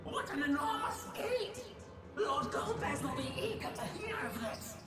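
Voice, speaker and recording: Echoing, high-pitched eager monster minion. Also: female voice, big pitch swings, unhurried. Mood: awed, eager. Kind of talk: fantasy video game voice acting. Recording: echoey, distant, loud game music behind.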